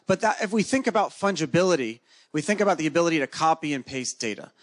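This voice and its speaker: Man, native Hebrew speaker, interview host, joking manner, higher voice, conference stage, handheld mic. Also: fast. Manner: joking, lively. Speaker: podcast host. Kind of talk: casual onstage interview. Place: conference stage before a live audience.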